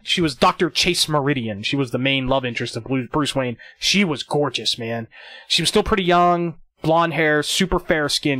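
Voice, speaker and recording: Man in his thirties, podcast host, rambling, excitable, cursing, dry close sound. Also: medium pitch, no room sound. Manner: rambling, excitable, swears freely. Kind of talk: casual chat.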